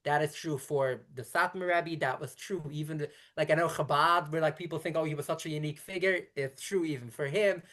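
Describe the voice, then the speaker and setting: A woman in her thirties from Brooklyn whose first language is Yiddish, speaking as a rabbi in a conversational interview and explaining at length in quick, self-correcting runs. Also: sits low, a bit bright. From Brooklyn, New York. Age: 30s. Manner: talks quickly in long explanatory runs with frequent restarts.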